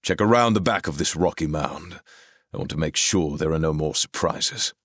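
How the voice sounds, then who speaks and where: A man's voice: extremely low pitch, normal speed, fairly bright tone, dry recording, clean studio sound. A man voicing a stern, commanding warrior king who speaks with firm authority. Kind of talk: audiobook character voice.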